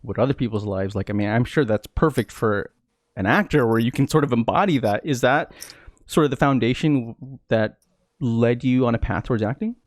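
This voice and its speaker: A man hosting an interview, asking measured questions and backing the guest with frequent small acknowledgements. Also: medium pitch, no room sound, studio quality. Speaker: podcast host.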